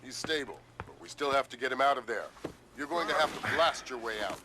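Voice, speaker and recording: A man's voice: mid-range voice, brisk, noisy background, natural voice. A man playing an urgent, dry, authoritative spymaster in a video game. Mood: urgent, commanding.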